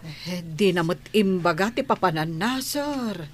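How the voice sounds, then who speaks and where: A woman's voice: on the low side, animated, measured pace, slight background noise. A woman with a Filipino accent playing a worried, dutiful household nanny in a radio drama, hesitant and exclamatory. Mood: worried, respectful.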